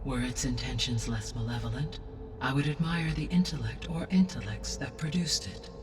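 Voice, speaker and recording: A woman playing a composed, learned artificial intelligence in a video game, speaking in a measured, formal and precise way. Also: a bass voice. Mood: calm, analytical. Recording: distant sound, clear game music behind. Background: music.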